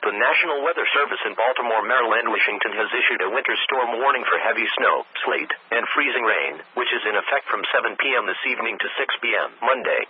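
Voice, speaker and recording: A synthetic text-to-speech man reads a scripted weather warning bulletin over the radio in measured, impersonal, segmented phrases. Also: medium pitch, animated, husky, no echo. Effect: weather radio broadcast. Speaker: automated weather radio announcer. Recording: tinny narrowband weather radio broadcast.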